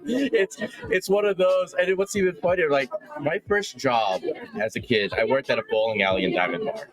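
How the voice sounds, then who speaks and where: An American man hosting an interview, laughing often and telling animated stories at a busy convention hall. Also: medium pitch, normal speed. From United States. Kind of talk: casual interview chat. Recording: noisy crowd in convention hall.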